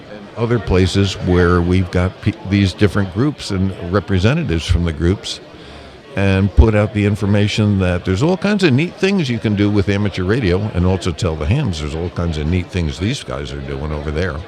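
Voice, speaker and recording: A man with a moderately low voice answers interview questions like a seasoned spokesman. He talks at length with enthusiasm and emphasis, while a crowd is clearly heard behind him. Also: normal speed. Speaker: public relations manager being interviewed. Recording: live event with loud crowd behind. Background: busy crowd at a convention.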